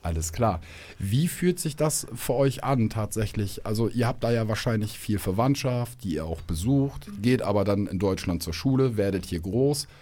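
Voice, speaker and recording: A man hosting a school podcast, asking polite, measured questions in a small studio. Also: fairly low pitch, lively intonation, mellow.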